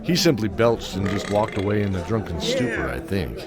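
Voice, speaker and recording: A man playing a brooding old knight who tells his own tale in a measured, reflective, dramatic way for an audio drama. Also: fairly low pitch, wildly swooping pitch, over loud music. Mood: wry, reminiscing. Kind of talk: first-person audio drama narration.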